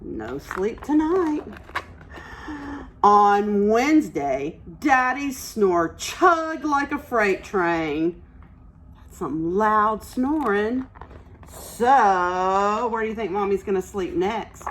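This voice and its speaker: Casting: female preschool-style teacher for an interactive story lesson, unhurried pace, encouraging and clear in her explanations to little listeners. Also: mid-range voice, expressive. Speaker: early childhood teacher reading aloud. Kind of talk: interactive read-aloud lesson for young children.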